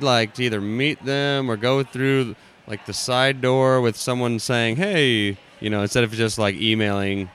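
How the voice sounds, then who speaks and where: A man's voice: on the low side, a bit bright, smooth. A man hosts a podcast interview on an expo floor, joking and playful with his guests. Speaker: podcast host. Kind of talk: casual in-person interview. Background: crowd ambience.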